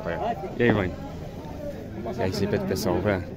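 A man with a Pernambuco Brazilian accent and a somewhat low voice. He walks through outdoor cattle pens with a phone camera and keeps up a chatty commentary for his viewers, calling out the prices of the animals. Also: moderate pace, a loud crowd. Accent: Pernambuco Brazilian Portuguese. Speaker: vlogger filming a cattle market. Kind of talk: casual running commentary to viewers. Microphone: handheld phone camera.

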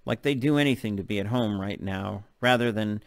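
A man's voice: fairly low pitch, brisk, dry recording, clean studio sound. A middle-aged man reading a novel aloud in an audiobook narration style. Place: open living room at home. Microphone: desk microphone close in front.

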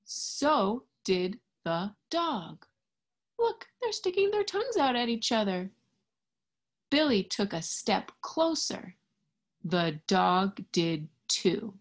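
A young woman reading a picture book aloud to small children, expressively and deliberately, pausing to explain and ask simple questions. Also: mid-range voice. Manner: expressive, deliberate, child-directed teacher talk. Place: indoors in front of a green screen.

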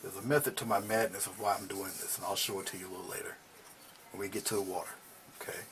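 Low-voiced man murmuring through a painting lesson. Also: quick pace, noisy background. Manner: relaxed, talks while he paints, drops to low murmurs over the brush work. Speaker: painting instructor. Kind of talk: casual painting demonstration. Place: small home studio, indoors.

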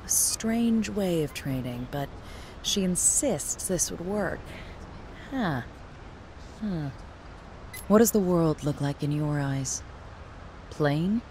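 A woman's voice: on the low side, lively intonation, takes it slowly, long pauses, darkish tone, a little hiss or hum. A woman voicing a stoic, devoted young royal guard in a video game, with formal, restrained, solemn delivery. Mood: solemn, reserved. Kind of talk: scripted video game character voice lines.

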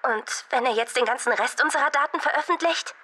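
Direct, bossy teenage girl, higher-pitched. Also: brisk, sharp tone, husky, full character voice. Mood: eager. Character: sharp-witted, bossy teenage schoolgirl investigator.

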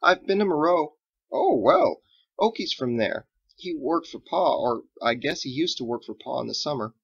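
Man voicing an earnest farm boy, pitched up. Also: big pitch swings, normal speed, gravelly, husky, muffled recording, heavily acted. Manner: hesitant, naive, plain-spoken.

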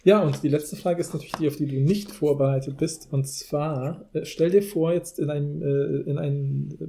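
A man's voice: a little high, steady tone, normal speed, gravelly, mellow, slight background noise. A man hosting a podcast, chatting casually and putting questions to his guest. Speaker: podcast host. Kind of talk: casual chat, asking questions.

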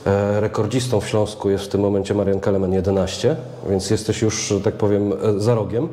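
Young guy interviewer, talks quick, mellow low voice, echoey room. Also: little pitch movement. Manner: rapid, long winding questions. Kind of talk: sports interview questions. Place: club media room, indoors. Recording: echoey room with long reverb.

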